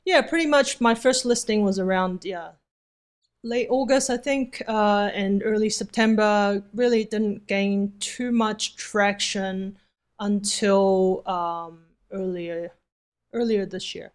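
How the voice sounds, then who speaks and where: A woman's voice: medium pitch, steady tone, slow pace. A woman in her thirties from Taiwan with a slight New Zealand accent, answering interview questions thoughtfully. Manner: thoughtful, uses fillers. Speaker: interview guest, Airbnb host.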